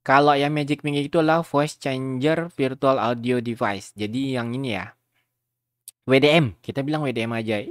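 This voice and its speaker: A man talks viewers through software in an informal, rambling way. His voice sits at a middling pitch. It is recorded close and dry, like a home tutorial voice-over. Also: moderate pace, no room sound. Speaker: video tutorial host.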